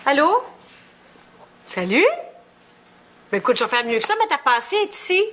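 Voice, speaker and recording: An actress with a Québécois accent playing a sharp-witted woman who needles her friends playfully. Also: high-pitched, very animated, normal speed, a little hiss or hum. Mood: teasing. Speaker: sitcom character, friend. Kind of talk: sitcom acting. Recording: TV sitcom audio with music and audience.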